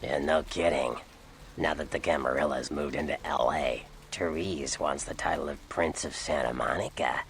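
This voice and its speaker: An actor voicing a cynical, sly Nosferatu vampire informant in a video game, with a gravelly American voice and a dry, sardonic manner. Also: male voice, rumbling low, moderate pace, fairly bright tone, a little hiss or hum, exaggerated voice. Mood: wry, amused. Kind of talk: video game character dialogue.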